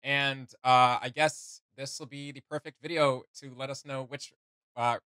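A man's voice: medium pitch, little pitch movement, unhurried, pushed and bright, clear, resonant tone, dry recording. A young man hosting a reaction video, chatting casually and giving his opinions. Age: young adult. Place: small indoor room with gaming setup.

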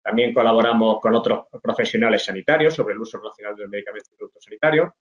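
A man's voice: fairly low pitch, steady tone, rapid-fire, dull audio. A middle-aged man from Spain giving an online talk to pharmacy students, explaining with many fillers and restarts. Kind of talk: informal online presentation over slides. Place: indoors at a desk. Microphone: webcam video call.

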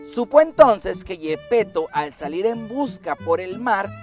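A woman with a Mexican accent telling a children's story in an expressive, playful, emphatic way. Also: mid-range voice, measured pace. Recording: music behind the voice.

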